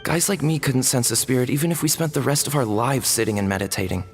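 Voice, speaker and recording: A woman's voice: rumbling low, fast talker, creaky. An actress voicing a timid, apologetic young boy in an anime fantasy game, hesitant and earnest, often stammering. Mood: remorseful, earnest. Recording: long reverb, music underneath.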